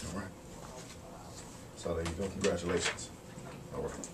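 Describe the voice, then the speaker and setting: A man's voice: low voice, level tone, normal speed. An American man in his thirties presenting school awards and reading citations aloud. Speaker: school staff member presenting student awards. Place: wood-panelled office, indoors. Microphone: camera at a distance. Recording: slightly noisy room, distant mic.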